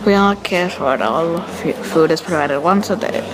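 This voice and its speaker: World-weary dragon chief for a storybook, blunt and mocking, lowish voice female reader, crowd behind. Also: normal speed, close to an everyday voice, poor audio.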